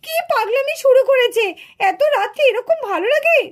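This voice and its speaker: A woman's voice: very high voice, lively intonation, moderate pace. A woman voicing an exasperated, scolding village mother in a children's puppet cartoon, complaining in a fretful way.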